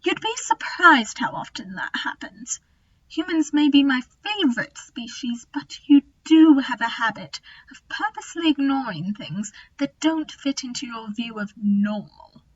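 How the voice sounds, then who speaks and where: A woman's voice: high-pitched, lively intonation, unhurried, husky, lo-fi sound, exaggerated voice. A woman playing a clever, resolute alien Time Lord who looks thirty, composed and explanatory, in a fan audio drama. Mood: wry, gentle.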